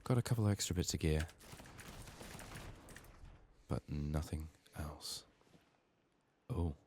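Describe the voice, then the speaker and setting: Really deep quiet guy doing asmr gaming, voice sore and sick, grumbly swearing, bit of background noise and key clicks. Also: slow. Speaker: video game streamer. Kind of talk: soft-spoken ASMR game commentary.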